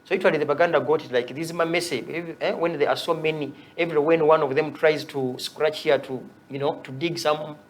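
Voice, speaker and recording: A man's voice: on the high side, fairly even intonation, fast, hardly any pauses, slight background noise. A young man with a Ugandan accent, an activist guest on a talk show, who makes wry jokes as he speaks. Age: young adult. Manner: wry and joking, laughs at his own points.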